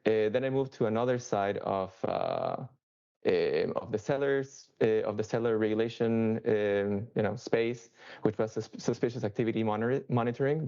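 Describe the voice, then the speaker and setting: A low-voiced man with a Chilean Spanish accent, speaking English as a second language. His tone is gravelly and mellow, full of fillers and restarts. The audio sounds muffled and lo-fi. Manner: halting, many fillers and restarts. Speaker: software engineer speaker. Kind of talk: casual work talk.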